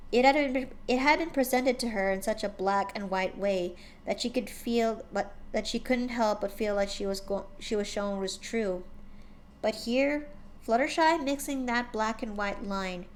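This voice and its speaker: A woman's voice: medium pitch, moderate pace, a little hiss or hum. A young woman narrating a fan story aloud on stream, with an unpolished read full of restarts. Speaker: streamer reading fanfiction aloud.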